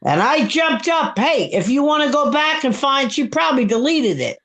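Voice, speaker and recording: A woman's voice: sits high, expressive, moderate pace, a bit bright. A woman in her seventies from Brooklyn with a Brooklyn accent, talking bluntly and heatedly as a guest on a live stream. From Brooklyn, New York. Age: 70s. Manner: blunt, heated, repeats herself. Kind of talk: casual chat.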